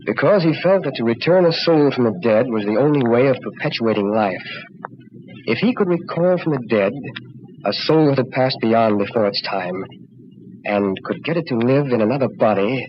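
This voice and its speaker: Male actor as grave middle-aged doctor, measured delivery, 1940s radio drama, muffled recording, faint noise. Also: mid-range voice, expressive, natural voice. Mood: solemn, ominous. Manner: measured, solemn explaining.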